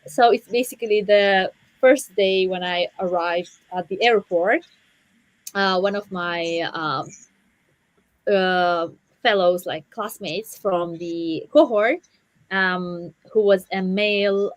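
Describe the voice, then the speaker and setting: Woman, thirties, halting personal storytelling, repeated words, dry close sound. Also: on the low side, no room sound. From Afghanistan and Central Asia. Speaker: conference guest speaker. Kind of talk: personal story in a panel interview.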